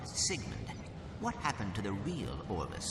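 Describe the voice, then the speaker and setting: A polite, formal little robot. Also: male voice, lowish voice, huge pitch swings, measured pace, creaky, background noise, exaggerated voice. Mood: earnest. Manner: formal, courteous. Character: polite, earnest small male robot.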